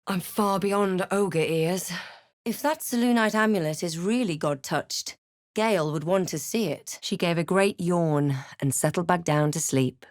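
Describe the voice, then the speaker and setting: A woman acts out a watchful female adventurer from a fantasy game. Her voice sits fairly low and is recorded dry and close. She speaks in a measured, understated way with a wry, dramatic edge. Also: dry recording, close to an everyday voice. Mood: measured, wary. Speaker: player-character voice actor. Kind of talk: fantasy game narration and short reactive lines.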